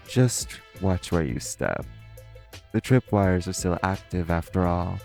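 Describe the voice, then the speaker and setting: A man's voice: sits low, gravelly, darkish tone. A man playing a flirty, cocky supervillain master thief in an audio roleplay, teasing and theatrical. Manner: teasing, theatrical, purring, chuckling. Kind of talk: audio roleplay acting, intimate monologue to listener.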